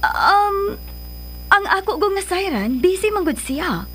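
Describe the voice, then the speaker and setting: High-pitched doting Filipino mother. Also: sing-song, slow pace, a bit bright, soft background music, heavily acted. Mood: uneasy, guarded. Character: mother of a grown daughter, doting yet guarded. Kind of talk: Philippine radio drama acting.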